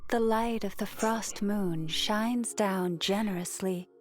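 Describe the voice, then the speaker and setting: A woman's voice: medium pitch, slow, lightly acted. A woman playing a serene, mystical forest priestess in a video game, solemn and soothing. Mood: serene, reverent. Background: game music.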